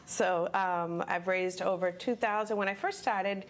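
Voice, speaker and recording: A woman's voice: mid-range voice, fairly even intonation, a little slow, background noise. A woman from the Dominican Republic, a guest on a TV talk show, chatting casually and laughing easily. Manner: laughs easily, switches into Spanish. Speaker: guest, fundraiser. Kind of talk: casual interview chat. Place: TV studio.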